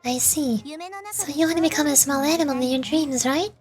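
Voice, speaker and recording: A woman performs a child goddess for a game. The voice is high and girlish, with a gentle, thoughtful manner. She speaks earnestly and curiously, like a kindly young sage, over clear background music. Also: brisk, lightly acted. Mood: gently advising. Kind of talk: scripted game character dialogue.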